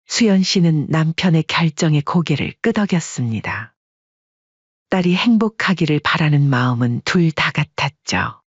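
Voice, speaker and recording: A woman reads a family drama aloud with a steady storytelling tone and a breathy voice. The recording is dry and somewhat dull, without room sound. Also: low voice, normal speed, dry recording. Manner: even, storytelling delivery. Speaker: narrator. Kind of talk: scripted story narration.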